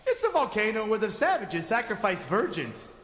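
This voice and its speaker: A man's voice: high-pitched, big pitch swings, normal speed, fairly bright tone. A man playing a crazy, skinny captive wizard in a comedic audio drama, theatrical and teasing. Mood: dramatic, ominous. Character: crazy skinny bearded captive wizard, eccentric last tribesman. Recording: very echoey, long stone-cell reverb.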